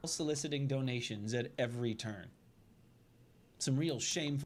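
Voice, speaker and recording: A man's voice: mid-range voice, normal speed. A man giving an aggrieved personal statement in an emphatic, indignant way, heard as replayed video audio. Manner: emphatic, indignant, dwelling on grievances.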